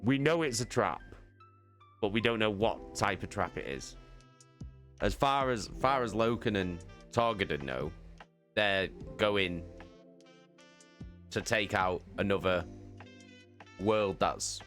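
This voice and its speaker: Deep, slow-talking man. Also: lively intonation, fairly bright tone, soft background music. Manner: loose and chatty, with fillers, swearing and laughs. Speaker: livestream host recapping a book. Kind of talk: casual book recap chat.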